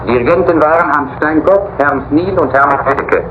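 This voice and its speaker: Noisy old radio, higher-pitched formal male announcer. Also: fairly quick. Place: radio broadcast studio. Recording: noisy, muffled, echoey 1940s shortwave recording.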